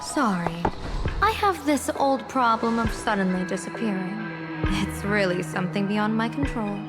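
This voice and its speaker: Woman as polite mysterious game general, medium pitch, poised, music loud behind. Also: lively intonation, normal speed, natural voice. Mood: politely evasive. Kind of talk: formal video game dialogue.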